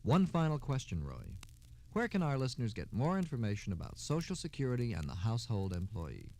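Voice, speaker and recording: An American man hosts a scripted radio program in old-time style. His delivery is formal, like a proper announcer's, and his pitch moves up and down in a very animated way. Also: brisk, gravelly. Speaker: radio host.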